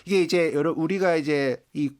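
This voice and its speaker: A man's voice: a little high, moderate pace, a little mellow, clear, resonant tone. A man in his forties from Daegu, a radio guest explaining ideas in a conversational way. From Daegu, South Korea. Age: 40s. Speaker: guest, sociologist and writer. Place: radio studio.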